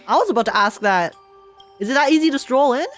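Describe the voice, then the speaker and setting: A woman giving casual, excited live commentary on a video game as a streamer, rambling and emphatic with plenty of slang. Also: high-pitched, fast talker, soft background music.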